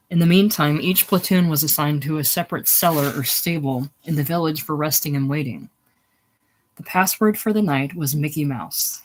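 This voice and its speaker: A man reading literary war fiction aloud as an audiobook narrator, now and then stumbling and rereading a phrase. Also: fairly high pitch. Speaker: audiobook reader.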